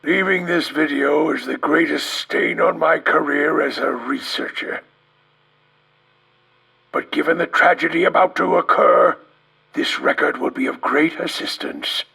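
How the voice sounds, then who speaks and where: An actor playing a distraught researcher in a video game, raspy and gravelly, speaking in a grave, halting, theatrical way. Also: male voice, a little high, pushed and bright, exaggerated voice, poor audio. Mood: grim, tense. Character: distraught male researcher recording a grim last testimony. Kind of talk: dramatic video game acting.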